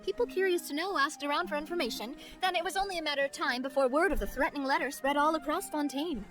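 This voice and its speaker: A woman's voice: sits high, fast, a bit bright, faint music behind. A woman playing a confident, playful young woman with underworld contacts in a video game, breezy and knowing. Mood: brisk, knowing. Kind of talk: video game dialogue acting.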